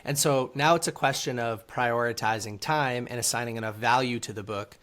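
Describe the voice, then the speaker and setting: A man's voice: mid-range voice, steady tone, moderate pace, a bit bright. A man speaking as an interview guest, answering conversationally and explaining things step by step. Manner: explains step by step with numbers and examples.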